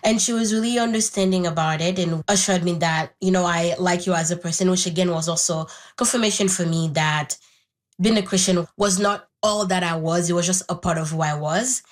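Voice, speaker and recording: Interview guest: Haitian-born woman in her twenties, Haitian Creole native, lowish voice, reflective and halting delivery. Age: 20s. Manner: thoughtful, pausing, with restarts. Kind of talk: reflective personal conversation.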